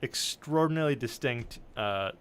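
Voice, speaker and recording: A man's voice: mid-range voice, lively intonation, normal speed, fairly bright tone, clean tone. A man chatting casually and telling anecdotes in a rambling, jokey, emphatic way. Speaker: livestream show panelist.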